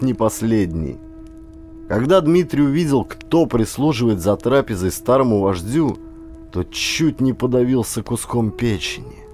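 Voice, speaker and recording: A man narrating a historical adventure audiobook as a scripted, expressive dramatic storytelling. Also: medium pitch. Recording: clear ambient sound effects behind voice. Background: ambience sound effects.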